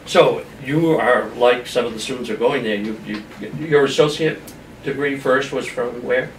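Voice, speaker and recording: A male host of a local interview show, heard at some distance in an echoey room with a little noise. He speaks in an informal, halting way, often pausing mid-sentence. His voice sits at an ordinary middle pitch. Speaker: talk show host, chamber of commerce representative. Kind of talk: informal community interview programme. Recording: roomy echo, distant mic, background noise.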